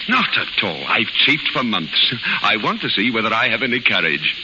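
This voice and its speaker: An actor playing a dashing, jovial gentleman soldier in a theatrical 1930s radio drama style. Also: fairly low pitch, big pitch swings, moderate pace, lo-fi sound. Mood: buoyant, eager. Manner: gallant, theatrical, chuckling. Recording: noisy, band-limited 1930s radio recording.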